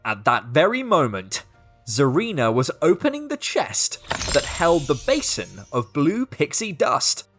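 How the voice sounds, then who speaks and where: A woman reading a children's story as scripted narration, expressive and deliberate, with dramatic pauses. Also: low voice, soft background music.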